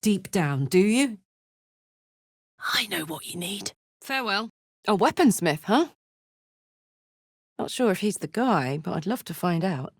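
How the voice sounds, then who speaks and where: A woman's voice: mid-range voice, big pitch swings, unhurried, pauses often, no room sound, clean studio sound. An actress playing a bold, hearty young female soldier in a video game, brash and slangy with a playful streak. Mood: earnest. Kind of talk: expressive video game voice acting.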